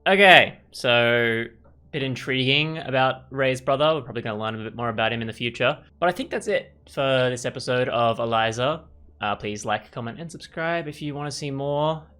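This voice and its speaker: A man's voice: mid-range voice, normal speed, bright, forceful tone, clean tone. A man hosting a gaming video with casual, musing let's play commentary. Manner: musing, informal.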